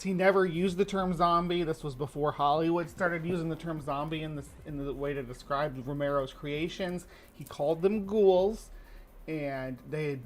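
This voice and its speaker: Male co-host, jokey and chatty with a slightly high voice and a faint noisy background. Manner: joking asides, quick chatty interjections. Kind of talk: livestream commentary.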